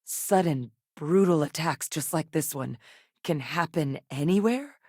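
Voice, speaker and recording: A woman voicing a resolute, earnest military scientist in a video game, speaking in a serious, measured and articulate way. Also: sits low, darkish tone, slightly rough, dry recording, clean studio sound. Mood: serious, businesslike. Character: resolute female military scientist and soldier, earnest, duty-bound. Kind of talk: acted game dialogue.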